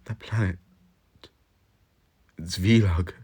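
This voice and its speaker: A man's voice: low-pitched, drawn-out words, dark tone, slightly rough, full character voice. A man voicing an earnest, indignant woman, a time traveller's companion, in an audio story, with an exclaiming, reproachful manner. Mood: horrified.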